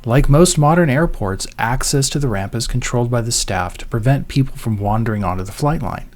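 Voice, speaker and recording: A man narrating a vlog in a measured, scripted voice-over, telling things in an orderly way. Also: mid-range voice, animated, darkish tone.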